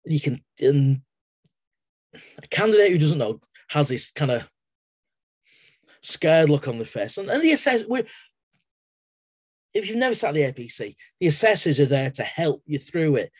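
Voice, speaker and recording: A man's voice: fairly high pitch, sing-song, normal speed, pauses often, darkish tone, husky, no room sound. A middle-aged man with an English accent, talking casually on a video call from his home study as a webinar speaker, digressing into everyday examples and often restarting his sentences. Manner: chatty, digressive, with everyday analogies and frequent restarts. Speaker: webinar guest speaker, exam assessor. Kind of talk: casual explanatory talk in a webinar. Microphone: laptop webcam on a video call.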